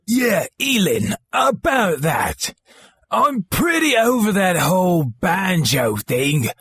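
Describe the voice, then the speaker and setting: A man's voice: high-pitched, sing-song, slow pace, a bit bright, slightly rough, no room sound, full character voice. A man voicing a smug, mischievous little halfling with a cruel streak in a cartoon fandub. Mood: mock-solemn. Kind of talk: animated comic fandub acting.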